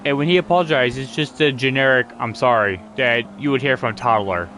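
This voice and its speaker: A man's voice: mid-range voice, a little fast, a bit bright, slight background noise. A man hosting a casual talk, retelling grievances in an aggrieved, repetitive way. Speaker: channel host. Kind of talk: casual complaint chat.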